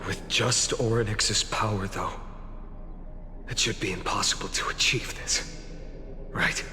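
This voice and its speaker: An actor playing a solemn, determined young hero in a dramatic game story, grave and earnest in delivery. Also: male voice, on the low side, steady tone, a little slow, natural voice. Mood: grave resolve. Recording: long reverb, music behind voice.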